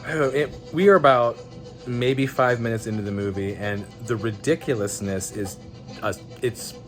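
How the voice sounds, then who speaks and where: A man's voice: mid-range voice, moderate pace, soft background music. An American man hosting a vlog with casual, chatty commentary in an informal, jokey, incredulous manner. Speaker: vlog host.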